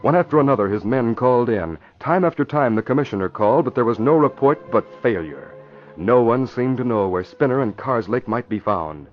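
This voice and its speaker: A man's voice: medium pitch, expressive, a little fast, faint music behind. A man with an American accent giving scripted narration as the announcer of a vintage radio drama. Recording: dull vintage radio recording.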